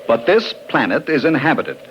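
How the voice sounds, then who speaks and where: A man's voice: medium pitch, big pitch swings, moderate pace, dull audio, lightly acted. Formal scholarly archaeologist played by a man, noisy old radio. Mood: earnest, polite. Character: earnest, polite male galactic archaeologist, narrating his account. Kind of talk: 1950s radio drama acting.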